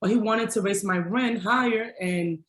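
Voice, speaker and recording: A woman's voice: medium pitch, moderate pace, fairly bright tone. A middle-aged woman chef earnestly telling her life story in an upbeat way over a video call. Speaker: chef guest. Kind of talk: personal story in an interview. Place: home room. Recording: roomy video call audio.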